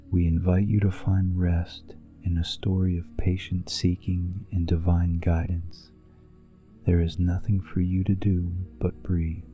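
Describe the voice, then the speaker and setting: A man narrates a sleep meditation in a very flat, even tone that barely moves. His delivery is slow, soothing and hushed, measured like a bedtime story. Music plays behind his voice. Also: deep voice. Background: music bed behind the voice.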